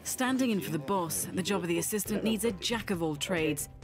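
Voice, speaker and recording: A woman narrating a scripted documentary feature in a brisk, lively voice-over. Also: lowish voice. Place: voice-over studio. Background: background music.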